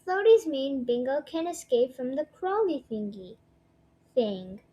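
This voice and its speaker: A woman's voice: high-pitched, big pitch swings, a little slow, husky, highs cut off. A woman reading a children's storybook aloud, sometimes stumbling over her words. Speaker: storybook read-aloud presenter.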